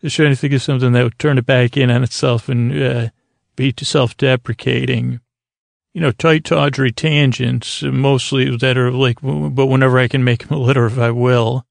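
A man hosting a sleep podcast in a meandering, halting, self-deprecating bedtime monologue, with a gravelly creak in his voice. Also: mid-range voice, fairly even intonation, dry recording. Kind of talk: rambling, improvised bedtime monologue.

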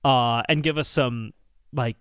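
A man's voice: medium pitch, fairly even intonation, unhurried, fairly bright tone, clean tone, no room sound, clean studio sound. A man hosting a comedy podcast, chatting casually. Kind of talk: casual chat.

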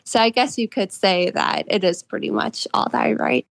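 A twenty-year-old woman answering interview questions conversationally, thoughtfully, with frequent halting pauses. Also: a little high, vocal fry, dry recording. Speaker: interviewed author.

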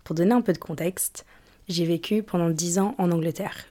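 Mellow-voiced young woman podcaster. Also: lowish voice, normal speed. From England. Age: young adult. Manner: chatty, rambling, many fillers and restarts. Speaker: podcast host. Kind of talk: casual solo podcast chat.

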